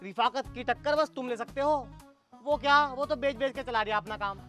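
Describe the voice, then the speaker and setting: An actor playing a wily, flattering village election fixer who hustles for money in a comedy sketch. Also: male voice, extremely high pitch, faint music behind. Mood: flattering, pushy. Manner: flattering, fast-talking hustler. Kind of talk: rustic comedy sketch acting.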